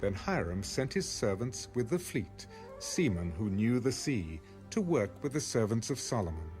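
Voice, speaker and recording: A man's voice: on the low side, big pitch swings, normal speed, darkish tone. A man narrating scripture in a scripted, dramatic reading style. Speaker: audio Bible narrator. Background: music.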